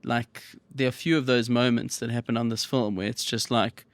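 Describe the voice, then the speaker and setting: A man's voice: mid-range voice, fairly even intonation, a little fast, no echo. A man from South Africa, a film director, talking reflectively in a casual podcast interview. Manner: reflective, many fillers.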